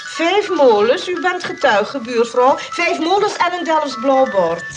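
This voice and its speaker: A woman's voice: high voice, big pitch swings, a little slow, music in the background. An actress playing an indignant, sarcastic woman shopkeeper in a radio comedy, scolding and repeating herself for emphasis. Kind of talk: radio comedy drama acting.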